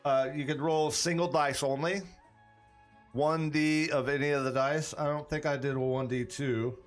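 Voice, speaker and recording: A man's voice: fairly high pitch, steady tone, moderate pace, faint music behind. A man running a tabletop role-playing stream as game master, thinking aloud in a rambling, conversational way with frequent restarts. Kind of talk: running a tabletop campaign stream, chatting with viewers.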